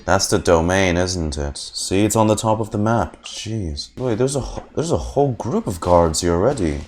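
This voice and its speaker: A man's voice: deep voice, animated, normal speed, darkish tone, soft background music. A man streaming a video game, giving casual play-by-play reactions and reading on-screen text aloud.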